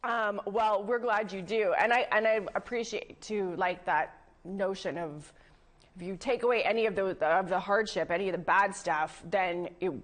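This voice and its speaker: Hoarse-throated woman host, conversational interview tone. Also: medium pitch, moderate pace. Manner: conversational, encouraging. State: scratchy throat, coughing. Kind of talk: interview on a talk show.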